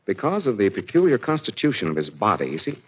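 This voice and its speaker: An actor playing a confident, authoritative gentleman detective explaining his plans in 1940s radio style. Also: lowish voice, sing-song, quick pace, husky. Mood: calm, assured. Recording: dull, noisy old radio recording.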